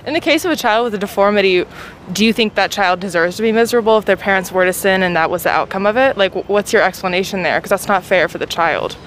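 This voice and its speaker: A woman's voice: mid-range voice, fast talker, a little hiss or hum. A young woman being interviewed outdoors on a city street, skeptical and questioning. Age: young adult.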